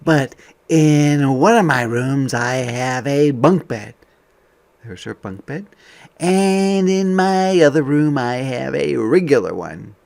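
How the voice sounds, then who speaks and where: Male kindergarten read-aloud teacher: very varied pitch, slow delivery, some gravel in the voice. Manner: expressive reading, softer conversational asides. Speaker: teacher reading aloud to young children. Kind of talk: interactive picture-book read-aloud with explanatory asides.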